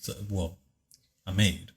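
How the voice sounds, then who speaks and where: A man with a very deep, low voice, chatting casually in a commentary on his own video, speaking softly and hesitantly with many fillers. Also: fairly even intonation, slow pace, vocal fry. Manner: soft-spoken, hesitant, with many fillers and restarts. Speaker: video creator giving commentary.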